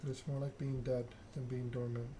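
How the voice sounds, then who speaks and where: A man's voice: medium pitch, level tone, fairly quick, background noise. A man doing an ASMR read-aloud of a narrative game, occasionally stumbling over the written text. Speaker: gaming channel host.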